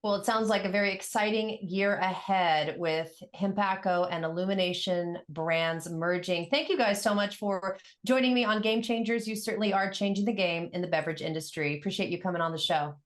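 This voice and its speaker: A woman's voice: medium pitch, steady tone, a little fast, hardly any pauses. A woman host closes out a business interview. Her delivery is upbeat and polished, in a mid-range voice. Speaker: show host. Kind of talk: closing an interview.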